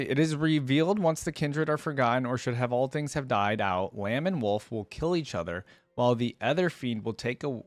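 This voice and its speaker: Male reaction creator, medium pitch, informal and inquisitive, reads aloud with off-the-cuff commentary, very dry recording. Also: no echo. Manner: musing aloud, curious, informal asides. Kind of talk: casual reaction commentary, reading lore aloud.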